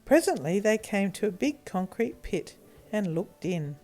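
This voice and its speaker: A woman narrating a children's story in an expressive storytelling style. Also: medium pitch, a little slow, soft background music.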